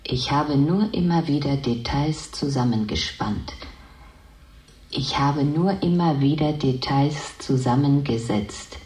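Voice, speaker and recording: Slow, echoing female station computer. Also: deep voice, darkish tone. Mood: calm, detached. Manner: measured, matter-of-fact, repeats and corrects phrases. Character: calm, all-knowing female station computer named Edith. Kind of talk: radio drama acting. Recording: echoing, reverberant radio play voice.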